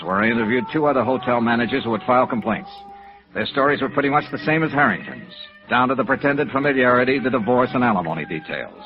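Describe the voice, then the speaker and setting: An American actor playing a cool, probing insurance investigator in a 1950s radio noir drama, clipped and matter-of-fact. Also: male voice, medium pitch, natural voice. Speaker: lead actor and narrator. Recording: muffled vintage radio recording with music.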